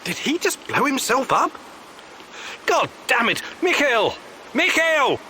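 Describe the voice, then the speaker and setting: A man's voice: very high-pitched, huge pitch swings, slow pace, sharp tone, slight background noise, full character voice. A male actor playing a tense, determined man on a sabotage mission in a video game, speaking tersely and seriously. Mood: alarmed. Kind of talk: dramatic video game voice acting.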